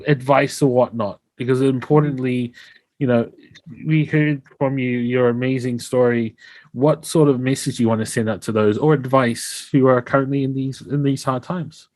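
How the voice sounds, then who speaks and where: A man's voice: medium pitch, fairly even intonation, moderate pace, a little mellow, dry recording. Effusive New Zealand man hosting a podcast. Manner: effusive, appreciative. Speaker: podcast host interviewer. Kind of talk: casual podcast chat.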